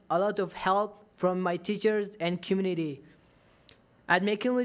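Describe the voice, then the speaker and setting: High-voiced teen guy from turkey, dari's his first language, reading his comment soft and steady at a podium mic. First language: Dari. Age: teens. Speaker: student public commenter. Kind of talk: reading a prepared public comment. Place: large council chamber, at a podium. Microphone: podium microphone.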